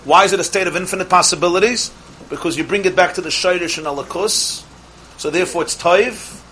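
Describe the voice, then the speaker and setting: A man teaching a Torah class, lively and emphatic, mixing in Hebrew terms and swinging from loud exhortation to quiet asides. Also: sits high, brisk, a little hiss or hum, poor audio.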